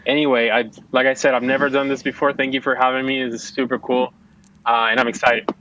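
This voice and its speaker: A man's voice: medium pitch, level tone, fast, sharp tone, dull audio, a little hiss or hum. A man from Puerto Rico, a podcast guest, talking casually with lots of fillers and restarts. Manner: chatty, rambling, many fillers. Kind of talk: casual conversation. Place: outdoors-ish home with background noise.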